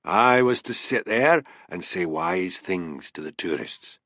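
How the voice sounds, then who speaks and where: A man voicing a sly, cunning old Highland seer, needling and teasing in an audiobook story. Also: animated, slow pace, sharp tone, no echo, studio quality, exaggerated voice. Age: older.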